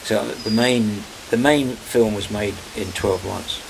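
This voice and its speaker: Gravelly older man reminiscing outdoors. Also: lowish voice. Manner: rambling, halting, searching for names. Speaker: interviewee, film director. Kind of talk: casual reminiscing interview. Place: outdoor porch in the countryside. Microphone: camera mic, medium distance. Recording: loud outdoor background noise.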